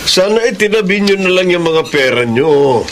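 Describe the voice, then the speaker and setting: A man's voice: high voice, lively intonation, moderate pace. An actor playing an affectionate, easily delighted middle-aged father in a radio drama. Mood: pleased, excited. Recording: noisy radio drama recording.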